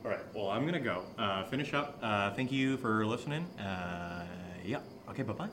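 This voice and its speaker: A man's voice: lowish voice, animated, moderate pace, close to an everyday voice. A man playing a tipsy, dismissive podcast co-host who rambles and muddles words in an audio drama. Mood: tipsy, muddled. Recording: roomy, faint background noise.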